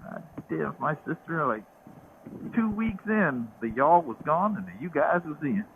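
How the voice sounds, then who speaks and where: A man's voice: a little high, sing-song, normal speed, gravelly. A man from the American South with a Southern accent, chatting casually and telling stories while riding a motorcycle. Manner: rambling, storytelling. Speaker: vlogger. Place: outdoors on a motorcycle. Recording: tinny intercom with faint road noise.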